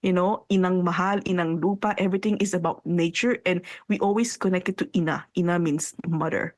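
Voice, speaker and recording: A woman whose first language is Tagalog, co-hosting a podcast in animated, thoughtful casual chat, with many fillers and restarts and slips into French. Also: fairly low pitch, fairly quick, dry recording. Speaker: podcast co-host.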